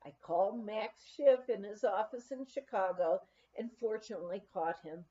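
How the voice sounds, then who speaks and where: A woman's voice: mid-range voice, normal speed, dark tone, highs cut off. A woman reading a memoir aloud as a storyteller, with emphatic phrasing. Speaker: audiobook reader.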